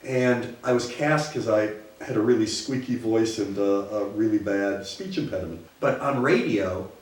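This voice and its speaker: A man's voice: on the low side, normal speed. Man, from Minneapolis, theater owner, hesitant and reflective, distant mic, echoey. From Minneapolis, USA. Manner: thoughtful, searching for words. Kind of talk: reflective interview talk.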